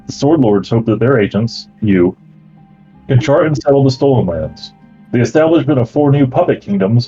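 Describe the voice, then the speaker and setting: Man, game master, husky mellow voice, reading lore then explaining rules, slightly dull recording, faint background music. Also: mid-range voice. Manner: steady reading that turns into relaxed explaining with fillers.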